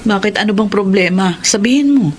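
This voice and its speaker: An actress playing a principled middle-aged woman mayor and protective elder sister in a Filipino radio drama, speaking in a firm, measured, authoritative way. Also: medium pitch, natural voice. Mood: concerned. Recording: faint background noise.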